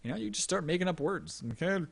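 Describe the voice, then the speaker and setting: Animated young male game caster. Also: sits high, fast, vocal fry, husky. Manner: joking, rambling banter. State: losing his voice. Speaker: esports caster. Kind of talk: live game commentary.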